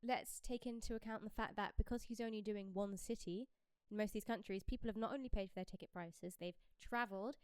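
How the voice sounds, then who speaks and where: A woman's voice: mid-range voice, fairly quick, hardly any pauses, darkish tone, clear, resonant tone, dry recording. A British woman co-hosting a podcast, chatting casually and expressively about pop culture. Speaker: podcast co-host.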